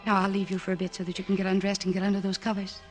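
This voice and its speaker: A woman's voice: mid-range voice, monotone, rapid-fire, natural voice. Actress as an earnest woman psychoanalyst, old radio drama. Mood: tender, flustered. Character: earnest, devoted woman psychoanalyst posing as a newlywed. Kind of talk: classic American radio drama acting. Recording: restored old radio broadcast, orchestral music.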